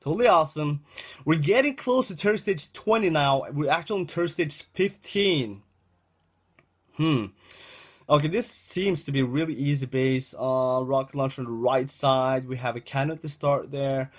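A man's voice: fairly high pitch, normal speed. A young man giving casual gameplay commentary, repeating himself and talking to viewers as "guys," with a dry throat, recorded indoors on a webcam setup. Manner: rambling, repeats phrases, addresses viewers as guys. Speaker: gaming channel host.

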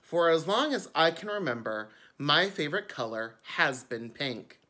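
A man's voice: a little high, very animated, a little slow, sharp tone. A man, a drag queen, reading a picture book aloud expressively for children, with chatty asides. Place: indoors before a curtain.

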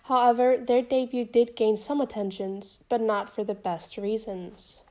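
A woman's voice: a little high, level tone, unhurried, darkish tone. A young woman YouTuber narrating a scripted commentary in a casual, chatty way, her voice still a bit off from being sick.

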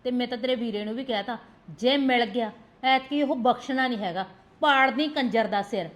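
An actress plays a fierce young sister-in-law who scolds and pushes. She talks in an insistent, no-nonsense way. Her voice sits a little high. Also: brisk, close to an everyday voice. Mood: fierce urging. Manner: scolding, insistent, repeats demands for attention. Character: firm protective sister-in-law, blunt and fierce. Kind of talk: village family drama acting.

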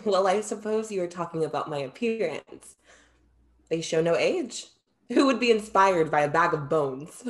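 A woman's voice: lowish voice, animated, normal speed, natural voice. A young woman playing a proud, playful Greek muse with theatrical, formal wording in a play reading. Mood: playfully proud. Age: young adult. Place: indoor room, video call.

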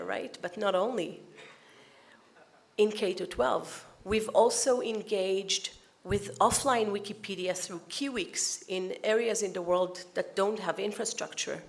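A woman's voice: medium pitch, gravelly. A woman giving a conversational, explanatory presentation from a podium at a conference hall. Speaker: conference speaker. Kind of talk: presentation talk.

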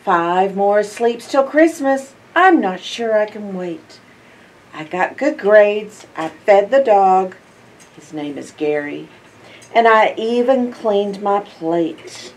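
An older woman reading a picture book aloud to young children in an expressive, drawn-out storytime manner. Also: medium pitch, recorded from a distance. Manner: expressive, drawn-out phrases with hushed asides and questions to listeners. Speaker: library storytime reader. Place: indoor library in front of bookshelves.

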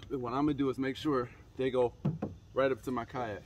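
A man's voice: medium pitch, fairly quick, noisy background. Young man giving outdoor how-to tips. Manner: relaxed, instructive, addresses viewers directly. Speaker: fishing channel host. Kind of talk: casual how-to demonstration. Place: suburban driveway outdoors. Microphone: camera mic, moving toward and away.

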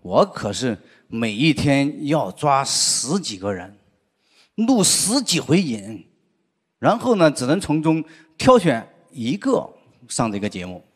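A middle-aged man doing a stand-up routine on a studio stage into a handheld mic, telling stories with lots of drawn-out "ah" fillers. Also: medium pitch, husky. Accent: Sichuan. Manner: lively storytelling with frequent "啊" fillers. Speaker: stand-up comedian. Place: large indoor studio stage with audience. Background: audience laughter.

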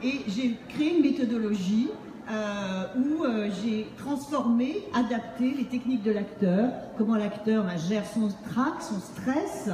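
A middle-aged woman with a slightly high voice gives a playful public presentation. She talks through a stage microphone, and the hall rings around her. There is faint background noise. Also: a little slow, dark tone, recorded from a distance. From Montreal, Canada. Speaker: author and acting-based speaking trainer. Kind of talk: humorous public book presentation. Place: on stage in a large book fair hall. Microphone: handheld microphone through PA, recorded by distant camera.

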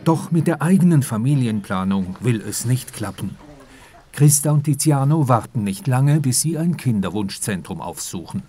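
A man reading scripted documentary narration in a calm, even way. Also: mid-range voice, normal speed, faint music behind.

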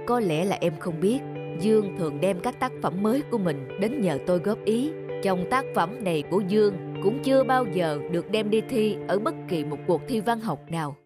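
A woman voicing a calm, wise middle-aged male teacher in a cartoon, speaking in a measured, instructive way. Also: medium pitch, lightly acted. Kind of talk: cartoon dubbing. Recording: music under the voice.

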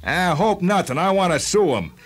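An actor playing an irate, aggrieved shopkeeper patient in a radio drama, whiny and complaining. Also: male voice, sits high, very animated, normal speed. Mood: indignant. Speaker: actor voicing a character.

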